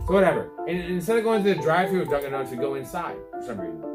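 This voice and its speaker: Male sports podcast host, slightly high pitch, slangy and profane, casual storyteller over music. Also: very animated, rapid-fire. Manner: sweary, slangy, buddy-like.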